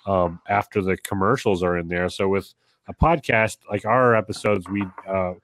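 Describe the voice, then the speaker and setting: A man with a nasal voice, a podcast guest talking in a relaxed, rambling way. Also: deep voice, normal speed, slight background noise. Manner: relaxed, rambling, laughs lightly. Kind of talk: casual interview chat.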